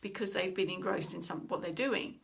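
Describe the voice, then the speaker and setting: A middle-aged woman, a communication trainer, giving an instructional talk and telling a story with lively, acted-out quotes. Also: fairly low pitch, a little fast, vocal fry. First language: English. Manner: animated storytelling, acting out quoted speech.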